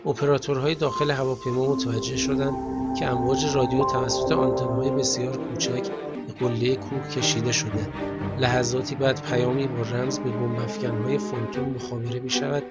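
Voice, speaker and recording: A man with an Iranian Persian accent narrating a scripted documentary in a measured, formal storytelling manner. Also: mid-range voice, flat delivery. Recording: music bed under the voice.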